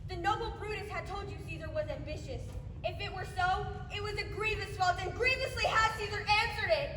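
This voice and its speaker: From far off in a reverberant hall comes a very high-pitched Roman nobleman acted by a woman. The delivery is declamatory and measured stage tragedy, full of grief and vengeance, with clear noise over the recording. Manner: declamatory, measured, with dramatic emphasis. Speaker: student stage actor. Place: theatre stage in a large hall, far camera. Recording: echoey hall from far off, noisy.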